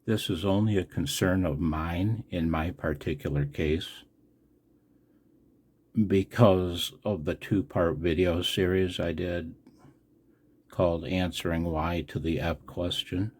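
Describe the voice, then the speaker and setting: An older man from the United States in a casual, unscripted vlog as a radio operator, blunt and wry with a gravelly, husky voice. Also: low-pitched, steady tone, slow pace, a little mellow. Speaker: amateur radio operator and video host. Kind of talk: casual, unscripted video commentary.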